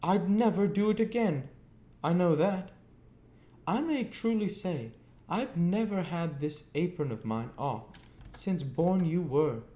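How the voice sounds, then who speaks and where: A man's voice: sits high, animated, slow pace, dark tone, voice pushed up. A man voicing a furious, nagging working-class woman scolding her family in a storybook reading. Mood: scolding, aggrieved. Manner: exclamatory, nagging. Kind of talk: audiobook character reading. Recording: roomy echo, faint background noise.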